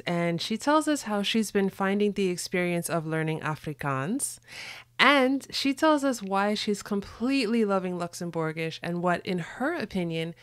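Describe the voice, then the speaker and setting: Lady hosting a podcast, kinda low voice, upbeat and excited, dead dry recording. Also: clean tone, dry recording. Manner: upbeat, enthusiastic. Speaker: podcast host. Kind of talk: scripted intro then interview chat.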